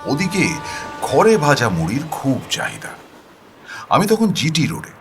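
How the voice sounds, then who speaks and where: A man narrating an audio story in the first person with expressive, dramatic delivery. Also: medium pitch, unhurried, music in the background.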